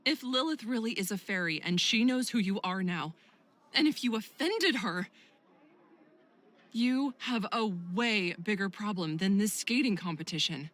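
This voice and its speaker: A protective woman who looks out for her friend in a visual novel game. She speaks at a middle pitch, earnest and cautioning, with serious concern. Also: animated, unhurried, a bit bright. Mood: stern warning.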